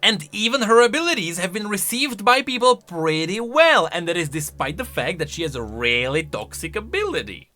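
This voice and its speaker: A man's voice: on the high side, pushed and bright. A man giving chatty, casual commentary on video game lore, often asking rhetorical questions with emphatic delivery.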